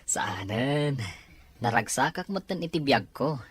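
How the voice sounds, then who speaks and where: A man playing a kindly, responsible uncle in an Ilocano radio drama, gentle and earnest in manner. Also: mid-range voice, lively intonation, a little slow, husky. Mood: tender, earnest. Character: kindly, responsible uncle, protective of his nephew.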